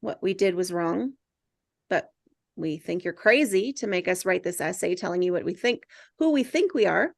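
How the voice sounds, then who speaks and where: A woman's voice: on the low side, expressive, normal speed, gravelly, no room sound, studio quality. A woman reading the part of an earnest, mildly defiant teenage boy nerd in a film script table read.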